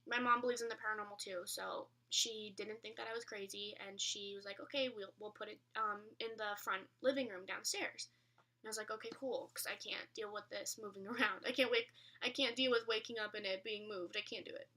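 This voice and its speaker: A woman's voice: medium pitch, fairly even intonation, a little fast, sharp tone, far from the mic. A young adult woman telling a personal story in a casual vlog style, rambling and correcting herself, with emphatic repetition. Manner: rambling, colloquial, self-correcting; emphatic, repeats phrases for effect. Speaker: vlogger.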